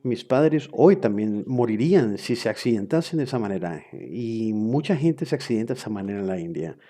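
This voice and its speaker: A man's voice: lowish voice, expressive, a little fast, mellow. A man interpreting a webinar lecture into another language in real time, hesitant, with frequent fillers and self-corrections.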